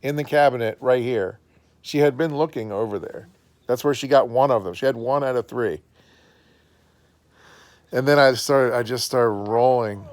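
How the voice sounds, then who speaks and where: A man's voice: medium pitch, moderate pace, pauses often. A man, a father chatting casually and encouragingly with his family at home. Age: adult.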